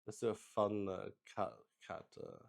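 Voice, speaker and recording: A man's voice: lowish voice, very animated, a little slow, gravelly. A man hosting a game in casual improvised chat, thinking aloud and swearing with exclamations. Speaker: solo roleplay player and host.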